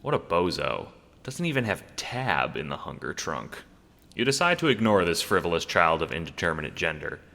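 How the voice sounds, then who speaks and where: Deep, animated male narrator with long reverb. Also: normal speed, vocal fry. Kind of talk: scripted second-person audio drama narration.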